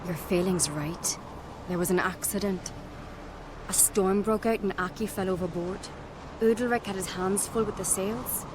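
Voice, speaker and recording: A woman's voice: mid-range voice, normal speed, noisy background, close to an everyday voice. An actress playing an earnest, headstrong young island noblewoman in a fantasy video game, speaking frankly like a storyteller. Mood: somber, storytelling. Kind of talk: video game cutscene acting. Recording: music behind the voice.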